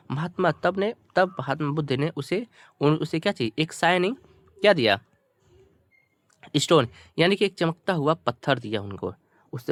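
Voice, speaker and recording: A man's voice: fairly high pitch, no room sound. A man teaching through a story, reading phrases out and translating them word by word in a repetitive, explanatory manner. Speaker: teacher, channel host.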